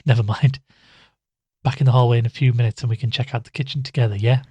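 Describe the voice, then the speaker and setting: A man's voice: mid-range voice, fairly even intonation, fast, dark tone, breathy edge, muffled recording, natural voice. A man playing an uneasy, wryly weary investigator in an audio drama, speaking hesitantly. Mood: uneasy, guarded. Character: uneasy male investigator narrating his case, reluctant and wry. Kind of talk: audio drama dialogue and first-person narration.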